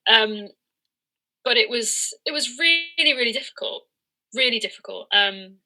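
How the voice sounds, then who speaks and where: A woman's voice: mid-range voice, sharp tone, poor audio. A woman in her early thirties giving a reflective, conversational interview, speaking candidly and hesitantly as she searches for words. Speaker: interviewed professional cricketer and coach.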